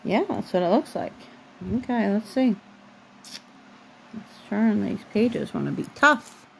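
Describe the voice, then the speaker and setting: A mother reads a picture book aloud to her young child. The woman takes her time in a measured storybook delivery. It is a homemade bedroom recording on a phone, with some light noise behind her. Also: medium pitch, sing-song, creaky. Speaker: mother reading a bedtime story. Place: bedroom at home. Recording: noisy home phone recording.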